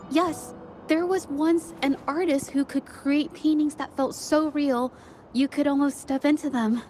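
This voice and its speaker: An actress playing a shy, hesitant young woman artist who is quietly determined, in a video game. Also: high voice. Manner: hesitant, stammering, soft-spoken apologies. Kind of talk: English video game voice acting. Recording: music behind the voice.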